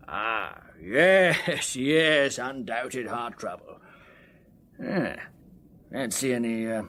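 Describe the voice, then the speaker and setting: A man's voice: medium pitch, sharp tone, exaggerated voice. An actor playing a cheerfully businesslike English pathologist in a period radio drama, muttering hesitant asides as he works. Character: brisk, jovial police pathologist relishing his gruesome work.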